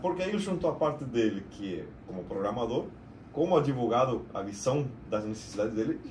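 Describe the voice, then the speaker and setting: A middle-aged man from Argentina with an Argentine Spanish accent, a native Spanish speaker, talking about his work in a casual, slightly halting way as a podcast guest. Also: medium pitch, expressive, a little mellow, a little hiss or hum. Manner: chatty, slightly halting storyteller. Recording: roomy echo, mic at a distance.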